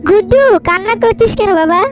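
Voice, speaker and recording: A woman voicing a cruel, scolding jackal housewife in a Bengali cartoon, bossy and sharp-tongued. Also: very high voice, quick pace. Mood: angry, scolding. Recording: music behind the voice.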